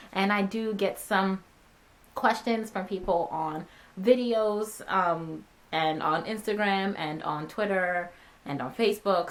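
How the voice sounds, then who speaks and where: A woman in her twenties, born in Ghana and raised in America, answering viewer questions in a casual, chatty talking video with many ums and uhs. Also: mid-range voice. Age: 20s. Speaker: vlogger.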